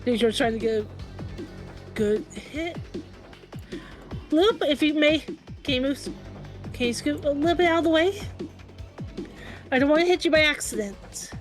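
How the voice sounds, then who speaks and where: Female player, high voice, quick exclamations, faint music. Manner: animated, reactive, quick exclamations. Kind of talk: casual play at the table.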